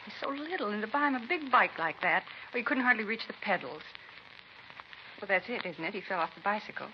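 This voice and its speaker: A woman's voice: medium pitch, expressive, a little fast, lightly acted. A woman playing an anxious, pleading mother in a vintage radio drama. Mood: anxious, insistent. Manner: pleading, insistent, emotional. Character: small, greying, anxious and grief-stricken mother. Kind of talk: 1950s radio drama acting. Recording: dull, noisy vintage radio recording.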